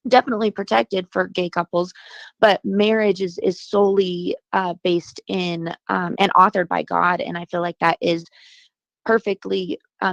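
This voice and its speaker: A woman's voice: lowish voice, gravelly, dry recording. A woman calling in to a radio talk show, with a froggy just-woken voice and hesitant talk full of fillers and restarts. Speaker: caller. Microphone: phone. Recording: dull, muffled phone line.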